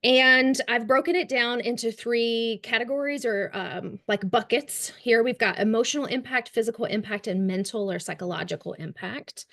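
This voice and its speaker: A woman's voice: fairly high pitch, normal speed, hardly any pauses, fairly bright tone. A woman with a Kentucky accent giving a trauma-informed care webinar on a video call, chatty and full of ums and light laughs. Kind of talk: webinar presentation.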